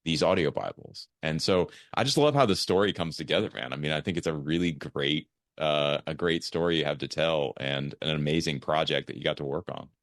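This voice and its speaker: A man's voice: very deep voice, a little fast, few pauses, a bit bright, no echo, clean studio sound. An American man hosting a podcast, chatting casually with his guest. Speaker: podcast host. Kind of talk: casual interview chat.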